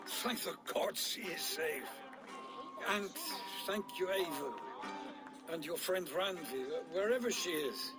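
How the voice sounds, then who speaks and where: High-voiced, rueful Viking deputy over music. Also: male voice, animated, slow, long pauses. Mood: relieved, grateful. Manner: polite, earnest, rueful. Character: Magni, courteous, troubled Viking town deputy, loyal man. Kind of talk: dramatic video game acting.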